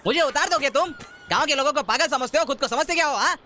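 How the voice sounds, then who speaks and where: A man's voice: extremely high pitch, quick pace, pushed and bright, faint music behind. A man playing a belligerent, argumentative village bus passenger in a broad comedy sketch, indignant, hectoring and repeating himself. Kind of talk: broad film-style comedy sketch acting.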